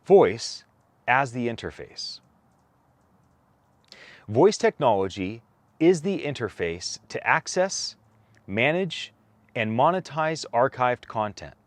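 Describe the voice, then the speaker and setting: Man reading aloud with lively, swinging intonation. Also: mid-range voice, slow pace, long pauses, no room sound. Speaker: co-author reading a whitepaper aloud. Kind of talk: read-aloud document narration.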